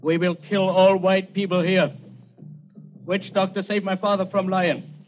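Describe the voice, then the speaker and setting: An actor playing a stern young chieftain's son who makes demands in clipped broken English in a radio drama. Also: male voice, sits high, steady tone, unhurried. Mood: threatening. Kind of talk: old-time radio drama acting. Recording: muffled vintage radio recording with music.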